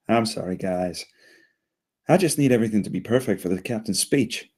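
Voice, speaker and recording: A man's voice: fairly low pitch, animated, a little slow, darkish tone, husky. A man playing an anxious, eager spaceship crew leader, flustered and hesitant, at a comedy table read.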